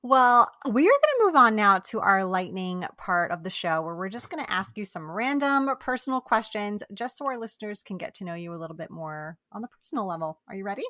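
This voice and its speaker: A woman's voice: mid-range voice, very animated, fairly quick, hardly any pauses, fairly bright tone, no echo, clean studio sound. A woman hosting an interview podcast, encouraging and affirming toward her guest and her listeners. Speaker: podcast host.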